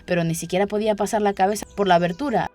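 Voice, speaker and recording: A woman's voice: mid-range voice, steady tone, racing, warm tone. Woman narrating a story over music. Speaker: audiobook narrator. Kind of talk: scripted children's story narration. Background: background music.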